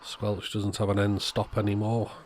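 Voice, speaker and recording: Low-pitched man, matter-of-fact. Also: completely flat delivery, normal speed, darkish tone, a little hiss or hum. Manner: matter-of-fact, thinking aloud, understated. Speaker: electronics repairer presenting own channel. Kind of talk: casual repair walkthrough commentary. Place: indoors at a workbench.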